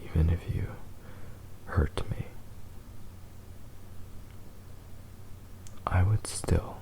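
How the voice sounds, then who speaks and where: Man acting a slow, whispering, possessive fox boy. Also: deep voice, steady tone, vocal fry, full character voice. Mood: devoted, intense. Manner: soft, tender, hesitant, drawn-out delivery. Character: possessive, devoted young male fox spirit husband. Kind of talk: ASMR romantic roleplay, whispered.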